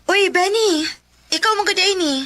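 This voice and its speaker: A woman's voice: extremely high pitch, normal speed, bright, forceful tone. A woman with a Cebuano Filipino accent playing a friendly young woman being visited in a radio drama. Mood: friendly, coy.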